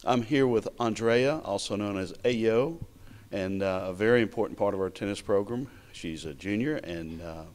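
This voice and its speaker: Male sports interviewer, low register, halting delivery with pauses mid-question. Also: a little mellow. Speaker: interviewer for college tennis program.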